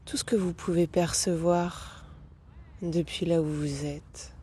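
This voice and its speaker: Woman, yoga nidra teacher, guided meditation, breathy husky tone, dark and relaxed, unhurried, gentle, long pauses. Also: fairly low pitch. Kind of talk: guided meditation, soothing instruction.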